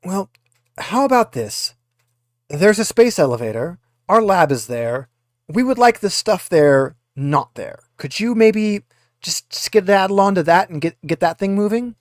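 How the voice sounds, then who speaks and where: A man voicing a haughty, impatient corporate woman liaison in a tabletop game, talking down to people in an informal, halting way. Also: high voice, expressive, no room sound, clean studio sound, voice pushed up. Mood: breezy, impatient. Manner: dismissive, condescending, hesitant informal delivery.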